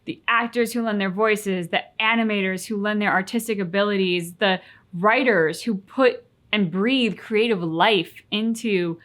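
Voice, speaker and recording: Female reaction co-host, medium pitch, conversational and reflective, leaning on key words. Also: a little slow, a bit bright. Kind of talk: casual reaction chat.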